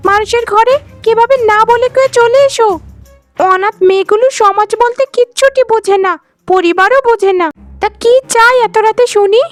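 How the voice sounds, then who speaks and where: A woman's voice: extremely high pitch, normal speed, dry recording, soft background music. A woman voicing a haughty, spiteful young wife who scolds and threatens in a cartoon family drama. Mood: scornful, furious.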